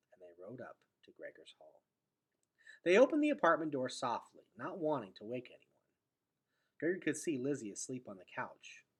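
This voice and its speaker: Man, expressive storybook read-aloud, very varied intonation, slightly rough husky voice, dramatic hushed phrasing. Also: medium pitch, rough recording. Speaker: teacher reading aloud to students.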